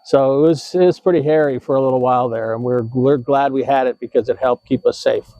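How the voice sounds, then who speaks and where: A man's voice: mid-range voice, brisk, mellow, dry recording, dull audio. An American man hosting a how-to video, explaining in a casual, informal way with some hesitation and self-correction. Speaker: host of an RV tips video channel.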